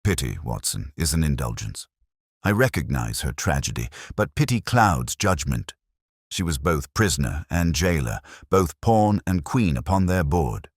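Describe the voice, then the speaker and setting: A man voicing a cool, detached Victorian detective in an audiobook, speaking formally and quietly in aphorisms. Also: very deep voice, sing-song, a little slow, husky, dry recording, studio quality, exaggerated voice. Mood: stern, detached. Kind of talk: audiobook character dialogue.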